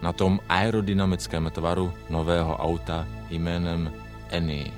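An actor in a radio play as a male car advert presenter, delivering short, measured, declarative phrases. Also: low voice, little pitch movement, soft background music. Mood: measured, selling.

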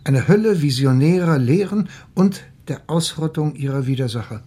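An actor playing a learned, grumpy police inspector with a husky voice and a dry, sardonic manner in a radio crime drama. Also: male voice, fairly high pitch, expressive, dark tone. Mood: musing, sardonic. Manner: dry, grumbling, deliberate.

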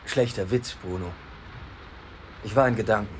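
An actor playing a brooding, guarded police detective in a radio play, speaking in a terse, laconic way. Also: male voice, fairly low pitch, normal speed, slight background noise, close to an everyday voice.

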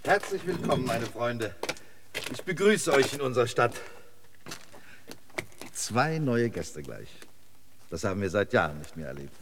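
An actor playing a chatty, eccentric local guide in a decayed city, rambling and effusively hospitable in a radio drama. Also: male voice, mid-range voice, very animated, unhurried, pauses often, background noise. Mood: eager welcoming. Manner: effusive, rambling, quirky hospitality, chuckles to himself. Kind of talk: German radio drama acting.

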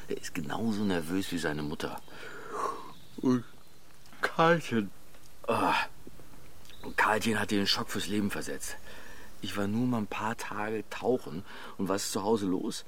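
A very low male voice plays a tired family father in a naturalistic radio drama. He is colloquial and sardonic, and tends to ramble. Clearly audible ambient noise sits behind him. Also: expressive, moderate pace, long pauses, a bit bright. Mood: weary, frustrated. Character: weary family father, mocking, talkative brother.

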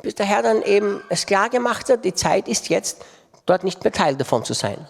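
A man's voice: sits high, lively intonation, normal speed, vocal fry. A man preaching a sermon with impassioned delivery and emphatic repetition. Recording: long reverb of a large hall.

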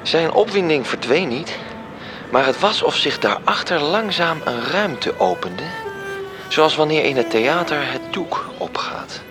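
An actor playing a confident, knowing celestial storyteller, narrating a radio drama in literary style. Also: male voice, a little high, animated, a little slow, over music. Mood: narrating, absorbed.

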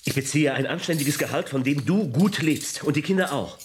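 An actor playing a cool, evasive scientist husband in a radio drama. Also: male voice, on the high side, lively intonation, brisk, slight background noise, lightly acted. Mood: dismissive. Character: cool unfaithful chemist husband.

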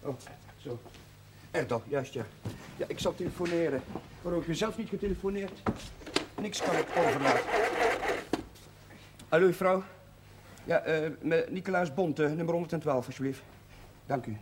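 An actor playing a fussy, flustered village chaplain, agitated and repeating himself, in a television period drama. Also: male voice, fairly high pitch, moderate pace, pauses often, close to an everyday voice. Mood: busy, flustered. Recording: noisy old television drama soundtrack.

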